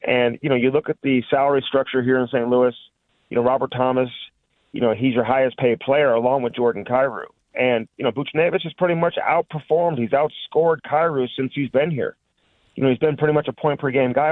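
A man's voice: medium pitch, no room sound. Man, dull lo-fi sports radio guest. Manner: conversational, frequent "you know" and "listen". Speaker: hockey reporter and radio guest. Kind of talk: off-the-cuff sports analysis in a radio interview.

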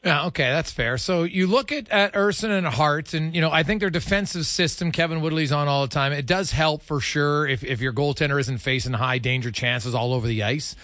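A man's voice: a little high, a little fast, hardly any pauses, a bit bright, no room sound, studio quality. A man hosting a sports radio show, asking questions in a casual, conversational interview style.

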